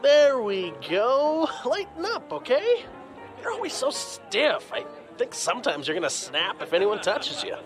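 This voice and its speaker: Teasing easygoing sidekick dude, a man voicing him, bouncy high voice, faint music. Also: measured pace, full character voice. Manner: playful, teasing, lively.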